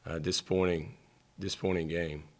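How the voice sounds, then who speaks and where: A middle-aged man, the head coach, answering questions at a postgame press conference with a table mic, measured and halting, repeating words as he thinks. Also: low-pitched, flat delivery, slight background noise.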